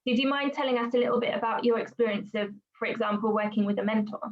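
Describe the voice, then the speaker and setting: A woman's voice: a little high, fast talker. A woman hosting a conversational interview as the interviewer. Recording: slightly dull, lo-fi audio.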